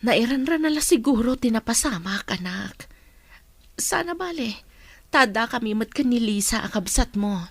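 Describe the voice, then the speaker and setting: A woman's voice: on the high side, lively intonation, normal speed, no room sound. An actress playing a caring, sorrowful mother of an ailing daughter in a melodramatic radio drama. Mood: gentle, sorrowful.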